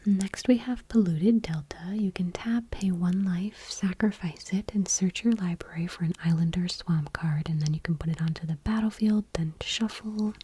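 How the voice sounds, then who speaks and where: A woman speaks in a very breathy, hushed whisper with a soft, dark tone. She reads item by item in ASMR style, gentle and unhurried, keeping her voice on the low side. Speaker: ASMR video host. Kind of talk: soft-spoken ASMR, whispered card reading.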